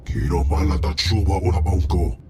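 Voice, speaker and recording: A man's voice: deep voice, animated, a little fast, a crowd in the background. A man voicing a huge, arrogant alien slug crime lord who speaks pompously in a guttural alien tongue for a video game. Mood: haughty. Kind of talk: video game character acting. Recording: loud music behind the voice.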